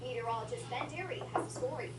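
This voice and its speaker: A female news anchor introduces a story in a high, smooth broadcast voice. She is heard through room playback. Loud noise rides beneath her. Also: quick pace, far from the mic. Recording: TV audio played into an echoey room, loud noise.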